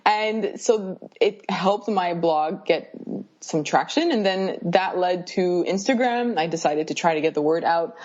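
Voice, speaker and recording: A woman with a lowish voice speaks as a podcast guest, explaining eagerly and breaking into laughs. Her audio sounds a little dull and muffled, as if it came over a remote connection. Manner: lively, eager explainer who laughs often. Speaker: neuroscientist guest. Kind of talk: casual interview explanations.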